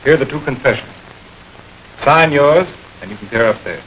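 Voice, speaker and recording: An actor playing a firm, businesslike district attorney in a 1940s radio drama. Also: male voice, mid-range voice, animated, close to an everyday voice. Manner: measured, businesslike. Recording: hissy old radio recording.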